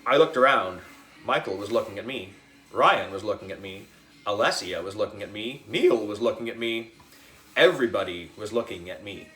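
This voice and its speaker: A man narrating a children's book in an animated storytelling style. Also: fairly low pitch, normal speed, roomy sound, low-quality recording. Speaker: audiobook reader.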